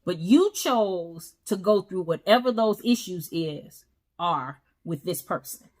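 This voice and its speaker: A woman co-hosting a casual talk show, earnestly giving relationship advice in a relaxed style. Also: lowish voice, takes it slowly. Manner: earnest, advising, emphatic. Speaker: co-host. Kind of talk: casual chat about relationships.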